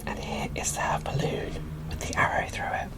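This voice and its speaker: A woman whispering casual, gushing ASMR commentary as she presents an unboxing, in a breathy, informal way. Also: moderate pace.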